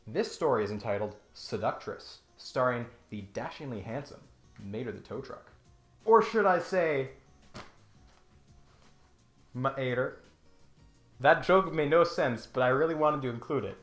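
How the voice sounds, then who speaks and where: A man's voice: medium pitch, expressive, a little slow, pauses often, a bit bright, reverberant, faint music behind. A man hosting a scripted comedic critique, speaking in a mock-grandiose, sarcastic and emphatic way. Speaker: YouTube comedy host.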